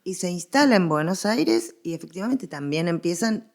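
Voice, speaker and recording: A woman with an Argentine accent speaking as an expert guest in a conversational scholarly interview, explaining in an animated way and repeating phrases for emphasis. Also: fairly low pitch, normal speed.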